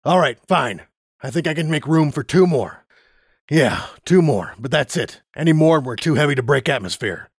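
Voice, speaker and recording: A man's voice: a little high, lively intonation, moderate pace, slightly rough, no echo, clean studio sound, pitched above the performer's own voice. A man voicing a nervous, self-serving male griffin pilot in a pony storybook. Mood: reluctant.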